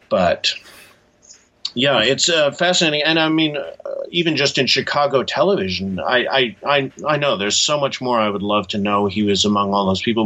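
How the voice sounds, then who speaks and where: A man speaking as an author being interviewed, in a halting, thoughtful, conversational way. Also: on the low side, sharp tone. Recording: dull, muffled lo-fi audio.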